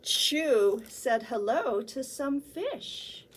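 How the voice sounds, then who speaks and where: A woman hosting a children's storytime, talking and reading aloud in simple, deliberate phrases for young listeners. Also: sits high, very animated, a little hiss or hum, poor audio. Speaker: children's librarian, storytime host. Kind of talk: picture-book read-aloud for small children.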